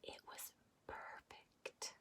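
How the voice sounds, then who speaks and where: A woman's voice: drawn-out words, bright, forceful tone, in a whisper. A woman teacher reading a picture book aloud to young children in a playful way, with chatty asides and questions.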